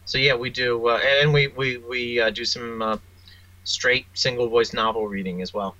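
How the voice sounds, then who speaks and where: A man's voice: mid-range voice, steady tone, moderate pace, sharp tone, muffled recording. A man giving casual interview answers as a guest author, rambling and hesitant in his delivery. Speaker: interview guest, author and audio drama producer.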